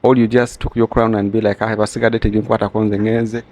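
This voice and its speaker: A man's voice: lowish voice, flat delivery, fast, darkish tone. A man with a Ugandan accent interviewing casually, switching between languages and repeating phrases as he forms his questions.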